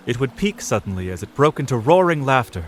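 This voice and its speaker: A man's voice: medium pitch, very animated, quick pace, soft background music. A man reading scripted narration for a fantasy audio drama, in a storyteller's style.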